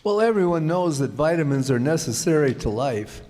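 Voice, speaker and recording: A man's voice: fairly high pitch, animated, moderate pace, darkish tone, lightly acted. A man playing a reassuring family druggist in a scripted old-time radio advertisement, explanatory, folksy and courteous. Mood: earnest, explanatory. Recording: reverberant hall stage recording.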